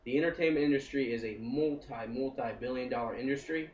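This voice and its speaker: A man's voice: medium pitch, brisk, darkish tone, slight background noise. Young man rambling in a roomy, distant recording. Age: 20s. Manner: rambling, upbeat, with frequent "I mean" fillers. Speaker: entertainment company co-founder. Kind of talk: casual podcast interview. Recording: roomy, distant and dull sound.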